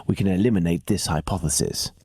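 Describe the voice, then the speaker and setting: Deep british gentleman detective, calm and exact, lecturing formally, video game cutscene, faint music. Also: breathy edge, no echo. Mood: analytical, composed. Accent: British English. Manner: measured, formal, precise, didactic. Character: calm, analytical middle-aged male Victorian English detective. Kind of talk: dramatic video game dialogue.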